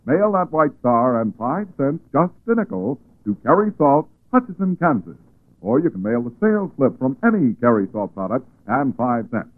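An American man working as a radio announcer, reading a 1940s advertisement as an excited, emphatic sales pitch. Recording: tinny narrow-band 1940s radio recording.

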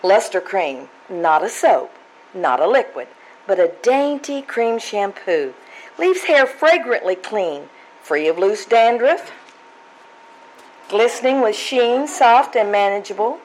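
A woman delivering a scripted commercial in old-time radio style, in a medium-pitched voice. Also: big pitch swings, slow, poor audio. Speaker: commercial announcer.

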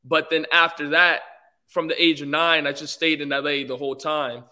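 A man's voice: fairly high pitch, little pitch movement, moderate pace, bright, forceful tone. A young man from England, a guest on a podcast, talking politely and easygoingly with many fillers. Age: young adult. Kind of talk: casual interview.

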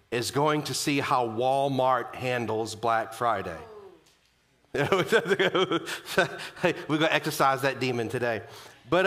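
A man's voice: medium pitch, pushed and bright. A man preaching to a congregation in a chatty, joking, storytelling way. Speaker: guest preacher. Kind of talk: conversational sermon opening with humor.

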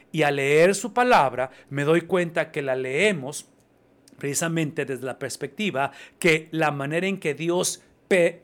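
A man's voice: a little high, fairly bright tone. A man preaching a sermon in Spanish, emphatic and repetitive, often checking in with his listeners. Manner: emphatic, repeats phrases, asks the congregation "¿verdad?". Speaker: pastor.

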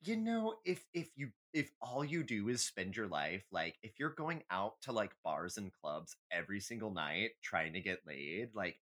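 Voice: low-pitched, sing-song, moderate pace, hardly any pauses, a bit bright. A podcast co-host in casual chat, giving candid, emphatic, sweary opinions like a comic rant.

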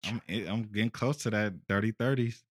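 A man in his late twenties, a podcast guest, bantering loudly and laughing with profane jokes. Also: on the low side, fairly even intonation, brisk, no echo, studio quality. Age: 20s. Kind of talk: casual podcast chat.

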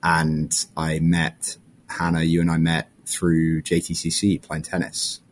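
A man's voice: a bass voice, monotone, unhurried, slight background noise. A man, answering interview questions in a friendly, reflective way with small restarts. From London, England. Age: 20s. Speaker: guest.

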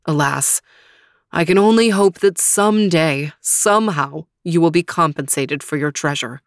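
A woman voicing a learned wise man who speaks in a measured, counseling way. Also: deep voice, no room sound, studio quality. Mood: sorrowful.

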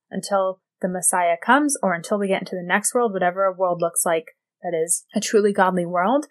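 A soft-spoken woman delivering a solo opinion commentary in a measured, reflective way. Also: mid-range voice.

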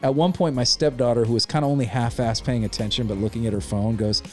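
A man's voice: mid-range voice, quick pace, soft background music. A man co-hosting a casual podcast, giving a rambling, opinionated movie review. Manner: opinionated, rambling, animated retelling. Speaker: podcast co-host.

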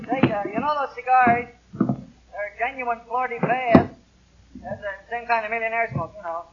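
An actor playing an eager, gullible young husband with a flustered, stammering, boyish manner, in the style of 1940s radio comedy. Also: male voice, extremely high pitch, steady tone, moderate pace. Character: eager, gullible young husband full of get-rich schemes. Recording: dull lo-fi 1940 radio recording.

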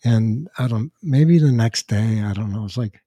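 An older man with a slightly raspy voice, chatting casually as a podcast host and telling rambling anecdotes with dry asides. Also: on the low side, moderate pace, dark tone, no room sound. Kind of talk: casual chat, storytelling.

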